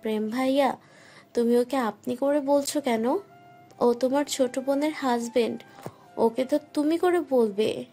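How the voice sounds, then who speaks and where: A woman voicing a sharp-tongued young wife who scolds and teases in an audio story. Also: high voice, a little slow, long pauses. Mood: sassy. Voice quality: sassy, scolding. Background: soft music.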